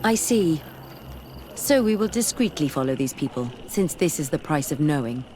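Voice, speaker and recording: A woman's voice: low voice, expressive, normal speed, dark tone, slight background noise. A woman acting a curious, determined, skeptical scholar in a video game, speaking thoughtfully and articulately.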